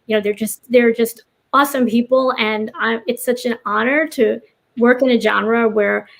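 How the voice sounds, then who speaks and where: A woman with a somewhat high voice talks as a writer being interviewed. She is earnest and conversational, rambling a little as she thinks out loud. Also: steady tone, normal speed, gravelly. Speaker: writer, podcast guest. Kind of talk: casual interview chat.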